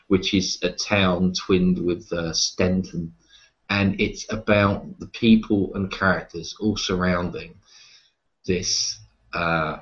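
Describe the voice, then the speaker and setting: A man being interviewed, talking casually and reflectively about his writing, with hesitant pauses. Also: deep voice, lo-fi sound. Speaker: interview guest, horror writer and publisher.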